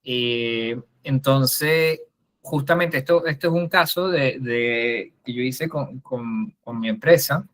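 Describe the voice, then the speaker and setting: A man's voice: medium pitch, slow, fairly bright tone. Man explaining BIM work in a chatty way. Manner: explanatory, frequent restarts and asides. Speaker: guest architect and BIM coordinator. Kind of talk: conversational class presentation.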